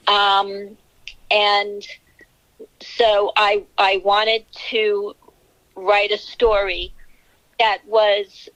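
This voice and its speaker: A woman in her sixties with a New York City accent, a novelist answering interview questions in a measured, candid way. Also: mid-range voice, a bit bright, no echo, poor audio. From Bronx, New York City. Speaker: novelist guest.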